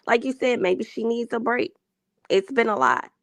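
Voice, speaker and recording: A woman guest on a livestream, getting over a cold, speaking hesitantly and slowly with many pauses. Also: on the high side, very animated, dry recording. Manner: hesitant, lots of ums, slow and measured. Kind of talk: casual opinion chat.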